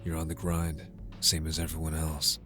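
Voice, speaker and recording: A man playing a tender, wistful young lover in an intimate cyberpunk ASMR roleplay, hesitant and gently pleading. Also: a bass voice, monotone, normal speed, music in the background. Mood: resigned, affectionate. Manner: soft-hearted, hesitant, intimate.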